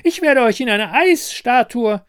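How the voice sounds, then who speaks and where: A man's voice: very high voice, expressive, measured pace, a bit bright, smooth, pitched above the performer's own voice, heavily acted. A man voicing a haughty, scornful winter queen in a fairy tale, contemptuous and scolding. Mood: shrill anger.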